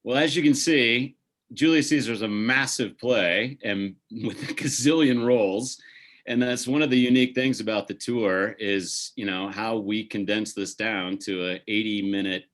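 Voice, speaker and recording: Low-voiced man hosting a panel. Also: normal speed, fairly bright tone. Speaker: host and artistic director. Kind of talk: moderating an online panel discussion.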